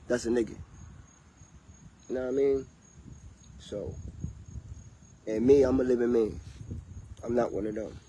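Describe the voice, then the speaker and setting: A man's voice: medium pitch, dark tone, breathy edge, low-quality recording. Rambling man vlogging outdoors. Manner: rambling, preachy, loose and conversational. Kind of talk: casual livestream monologue.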